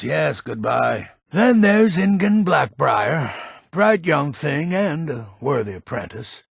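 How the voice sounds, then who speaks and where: Gravelly, crotchety old alchemist. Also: male voice, mid-range voice, no echo, studio quality, full character voice. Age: older. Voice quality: gravelly, rasping. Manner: crotchety, curt, exasperated. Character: grumpy, pessimistic old male alchemist and shopkeeper. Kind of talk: fantasy video game character acting.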